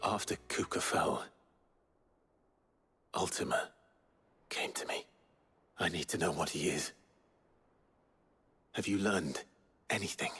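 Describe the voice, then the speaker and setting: A solemn hero of a fantasy game. His voice is deep and his pace slow, with short, restrained, earnest lines. Music is clearly heard behind him. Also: sharp tone, full character voice. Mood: grave, intent. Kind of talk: video game voice acting.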